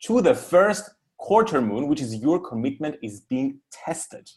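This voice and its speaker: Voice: medium pitch, big pitch swings, unhurried. An interview host chatting casually and guiding a guest from one topic to the next.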